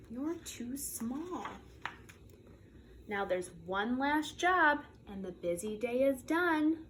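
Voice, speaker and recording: A woman reads a children's story like a preschool teacher, with big swings in pitch and an unhurried pace. She is playful and expressive, lingering on sound words, with faint noise behind her. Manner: animated, playful, drawn-out sound words and repetitions. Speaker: preschool teacher reading aloud. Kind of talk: expressive children's picture book read-aloud.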